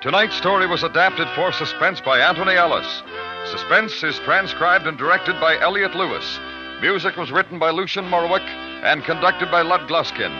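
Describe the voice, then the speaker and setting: Higher-pitched portentous American radio announcer man. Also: moderate pace. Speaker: program announcer. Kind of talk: dramatic 1950s radio announcing. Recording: muffled vintage radio with loud music.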